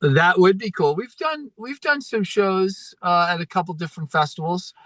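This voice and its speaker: A man's voice: sits high, expressive, normal speed, a bit bright, no echo. A man guesting on a podcast as a filmmaker and musician, easygoing and appreciative in casual chat.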